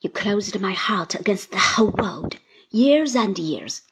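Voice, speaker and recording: A woman's voice: mid-range voice, animated, unhurried, noisy background, close to an everyday voice. A woman doing an indignant older aunt. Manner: emotional, reproachful pleading.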